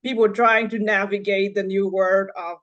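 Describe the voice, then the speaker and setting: A woman's voice: mid-range voice, little pitch movement, dull audio. Woman from Vietnam. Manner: halting, frequent fillers and restarts. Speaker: neonatology fellow. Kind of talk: panel discussion.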